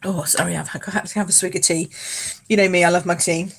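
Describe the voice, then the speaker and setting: A British woman with a low, husky voice and a croaky edge, hosting a casual live card-making class. She rambles warmly between steps, greets viewers by name and laughs easily. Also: fairly even intonation, quick pace. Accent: British English. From United Kingdom. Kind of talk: casual live craft demo, chatting with viewers. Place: home craft space.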